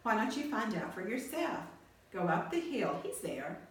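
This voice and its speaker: A woman's voice: mid-range voice, sing-song, moderate pace, voice pushed up, natural voice. Woman as a carefree wooden puppet girl, echoey. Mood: breezy. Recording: echoey room, far from mic, faint noise.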